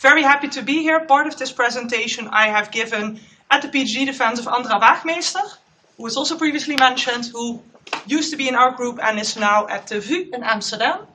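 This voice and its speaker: A woman postdoc gives a loud, chatty conference presentation in a lecture room. Her voice is high and bright, picked up by a clip-on mic. The recording sounds a little distant. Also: brisk, slight background noise. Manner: loud, chatty, self-aware. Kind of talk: conference talk. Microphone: clip-on microphone.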